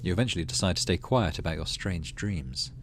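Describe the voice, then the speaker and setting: A man's voice: deep voice, brisk, a bit bright, a little hiss or hum. A man hosting a gaming video, narrating on-screen story text and adding casual commentary. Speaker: gaming channel host. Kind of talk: let's play narration, reading game text with casual commentary.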